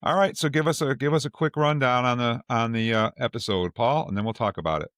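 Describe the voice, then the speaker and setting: A man with a mid-pitched voice hosts a casual panel show. He moderates conversationally, and the sound is close and dry with no room to it. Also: fast talker, a bit bright, dry recording. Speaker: podcast host.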